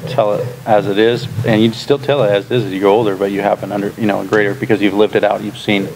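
A man in his thirties, a pastor, leading a relaxed classroom discussion with lots of fillers, recorded in a church classroom by a room camera. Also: lowish voice, fast, noisy background. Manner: relaxed, chatty, frequent fillers and laughs. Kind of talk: casual teaching discussion.

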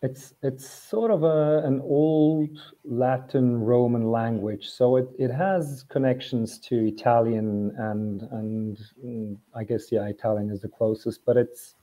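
A man from Switzerland, a native German speaker, explaining things carefully and haltingly as a guest on a video call. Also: medium pitch, fairly even intonation, warm tone, dull audio. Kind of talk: casual video call chat.

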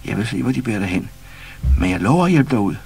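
A man's voice: on the low side, sing-song, gravelly, a little mellow, slight background noise, voice pushed up. A man voicing a frightened gazelle who pleads in a raised, anxious voice in a storybook reading. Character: frightened gazelle carried in a box.

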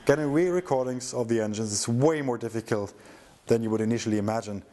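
A man's voice: mid-range voice, quick pace, mellow, slight background noise. A middle-aged man giving scripted voice-over narration about his own restoration project.

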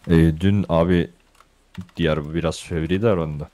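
Man playing a very deep-voiced, obedient henchman. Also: steady tone, a little slow, warm tone. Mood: deferential, hesitant. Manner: deferential, hesitant, short compliant replies. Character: deferential, obedient male gang henchman. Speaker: gang member in an online roleplay game. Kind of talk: improvised game roleplay dialogue.

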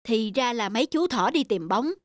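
A woman voicing a wise, kindly, patient older owl in a cartoon dub, calm, measured and instructive. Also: fairly high pitch, dry recording, clean studio sound, lightly acted. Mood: thoughtful. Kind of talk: cartoon dubbing. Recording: loud music under the voice.

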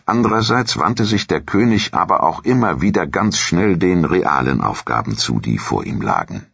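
Very deep, gravelly male documentary narrator. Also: very animated, normal speed, fairly bright tone, dry recording, highs cut off. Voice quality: gravelly, husky. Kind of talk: scripted historical narration.

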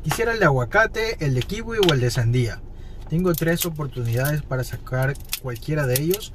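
A man's voice: on the high side, lively intonation, moderate pace, noisy background. A man in his thirties chatting casually to viewers while unboxing toys in a parked car at night. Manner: chatty, upbeat, talks straight to viewers. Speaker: toy collector vlogger.

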